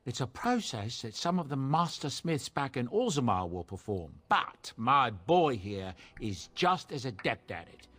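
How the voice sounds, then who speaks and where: A man's voice: medium pitch, sing-song, a little slow, few pauses, a bit bright, no room sound. Lilting, genial dwarf merchant father. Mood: proud, selling. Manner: folksy, wordy, salesmanlike. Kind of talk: fantasy game voice acting. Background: game music.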